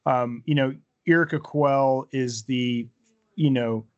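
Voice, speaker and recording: A man's voice: medium pitch, steady tone, takes it slowly, highs cut off. A man co-hosting a casual podcast book review, explaining things in a loose conversational way. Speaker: podcast co-host.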